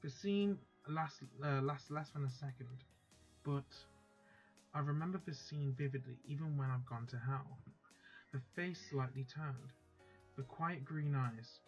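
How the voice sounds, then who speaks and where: A man's voice: medium pitch, measured pace, dull audio, faint music behind. A man hosting a let's play, chatting casually and then reading a visual novel's text aloud.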